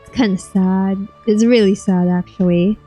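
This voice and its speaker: A woman's voice: medium pitch, steady tone, measured pace, over music. A woman giving casual, blunt and slangy reaction commentary as she plays a video game. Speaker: streamer playing a video game.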